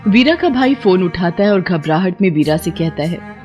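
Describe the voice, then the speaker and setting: A woman's voice: on the low side, lively intonation, moderate pace, a little mellow, soft background music. A woman storyteller reading scripted folktale narration.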